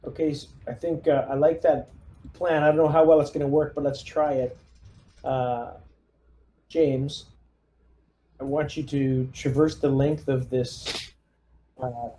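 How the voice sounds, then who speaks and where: Male tabletop player, squad-leader role. Mellow, middle-pitched, casual and tentative planner, light background noise. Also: steady tone, measured pace, pauses often. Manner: collaborative, thinks aloud, hesitant phrasing. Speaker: player in a tabletop roleplaying game, leading the squad. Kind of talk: casual in-character tactical planning.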